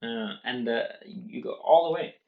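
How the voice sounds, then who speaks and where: Male vlogger at a middle pitch, earnest and step by step, recorded on muffled lo-fi audio. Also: a little slow, gravelly, reverberant, a little hiss or hum. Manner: earnest, explains step by step with many restarts. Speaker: language-learning vlogger. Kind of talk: unscripted talk to camera.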